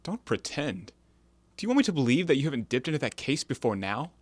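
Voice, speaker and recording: A man acting in an audio play as a bitter, sly ex-convict forger, speaking in a theatrical, insinuating, deliberate way. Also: mid-range voice, very animated, no room sound. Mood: menacing, insistent.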